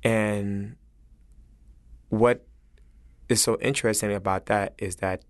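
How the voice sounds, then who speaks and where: A man from Senegal with a West African accent speaks earnestly and reflectively in an interview, halting as he searches for his words. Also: lowish voice, steady tone, no room sound, clean studio sound. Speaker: chef interviewed as guest.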